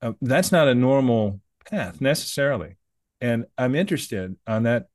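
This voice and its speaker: A man's voice: mid-range voice, lively intonation, normal speed, darkish tone, dry recording, studio quality. A man hosting a podcast interview, asking curious questions and often stumbling and repeating words. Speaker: podcast host.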